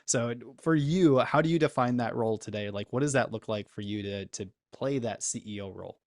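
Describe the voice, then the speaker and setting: A man hosts a business interview, winding through his questions with plenty of fillers. His pitch sits in the middle range. The sound is dry and close. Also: a little fast, a little mellow, no echo. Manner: rambling, with many fillers like "kinda" and "you know". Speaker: interview host and business coach.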